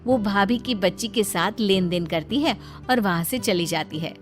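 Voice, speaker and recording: A woman's voice: medium pitch, normal speed, soft background music. A woman reading a scripted story as its narrator.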